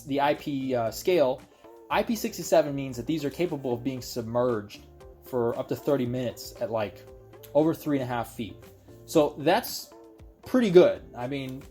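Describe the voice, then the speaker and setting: A man's voice: mid-range voice, dark tone. A man giving a casual product review, explaining things conversationally and speaking directly to viewers.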